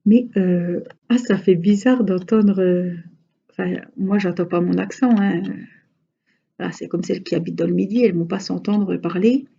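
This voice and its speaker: A woman's voice: on the low side, moderate pace, gravelly, warm tone, slightly rough, muffled recording. A woman with a regional Franche-Comté accent chatting casually to her viewers while crafting, rambling with many hesitations. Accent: Franche-Comté French. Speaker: crafting vlogger.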